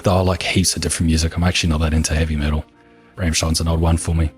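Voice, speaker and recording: A man talks in a calm, soothing, unhurried way, answering viewer questions. His voice sits very low and sounds husky, a little hoarse from long talking. Soft music plays faintly underneath. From New Zealand. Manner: relaxed, unhurried, matter-of-fact. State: hoarse from talking for over an hour. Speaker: gaming video creator answering viewer questions. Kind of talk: casual Q&A chat.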